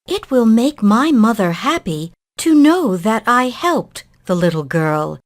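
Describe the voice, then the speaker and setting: A woman voicing a kind, generous young shepherd boy in a children's storybook, gentle and earnest. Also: a little high, very animated, a little slow. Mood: earnest, giving.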